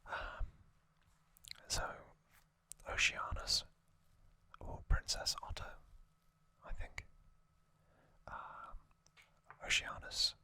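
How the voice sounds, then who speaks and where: A man hosting ASMR, explaining trivia informally in a soft, unhurried, breathy whisper. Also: no room sound. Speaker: ASMR video host.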